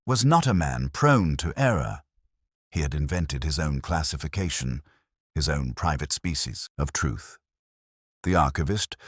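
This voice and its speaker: A man narrating a scripted detective drama as a weary, reflective police inspector, in a brooding, measured, literary manner. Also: extremely low pitch, dry recording, clean studio sound. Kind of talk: scripted first-person audio drama narration.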